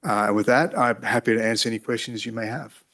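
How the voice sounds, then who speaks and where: A middle-aged man with an Australian accent formally introducing his background at a hearing, in a measured, orderly way. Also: mid-range voice, fairly even intonation, a bit bright. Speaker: applicant, company board chair.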